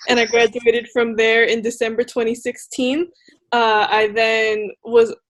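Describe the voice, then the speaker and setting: A woman in her twenties who grew up in Charlotte, introducing herself casually on a panel. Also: fairly high pitch.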